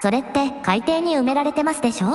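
A woman's voice: sits high, animated, fast talker. A woman playing a polite, resolute princess who can become a dragon, speaking in very formal, refined language in a radio drama. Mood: composed, confident. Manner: refined, very formal ladylike speech. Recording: echoing hall reverb, faint music behind.

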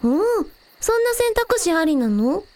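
A woman's voice: extremely high pitch, very animated, a little slow, darkish tone, no echo, full character voice. A woman playing a spirited, caring young village girl who leads her team, casual and earnest, in a radio drama. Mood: apologetic, fond.

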